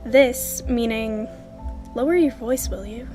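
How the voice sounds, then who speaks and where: A woman voicing a guarded, nervous teenage girl who speaks in a hushed, defensive way. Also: on the high side, slow, soft background music.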